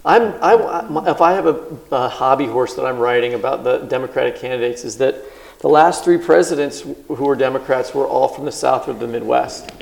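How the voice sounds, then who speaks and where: A man's voice: mid-range voice, fairly quick, creaky, rough recording. A man hosting a live podcast, asking thoughtful, rambling questions with many restarts. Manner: thoughtful, rambling, self-interrupting. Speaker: podcast host. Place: live event on stage.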